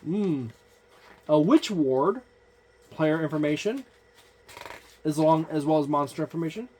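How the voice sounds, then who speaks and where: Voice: medium pitch, lively intonation, mellow, breathy edge. Casual hobby reviewer, unscripted listing delivery, lots of fillers and self-corrections. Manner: halting, frequent "uh" fillers and restarts while listing entries; offhand, informal wording. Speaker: tabletop game book reviewer. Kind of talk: casual page-by-page book overview.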